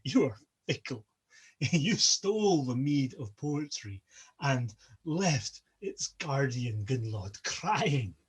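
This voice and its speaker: A man's voice: mid-range voice, very animated, slow, husky, exaggerated voice. A man voicing a scornful, taunting male trickster god at a feast, mocking each guest in turn.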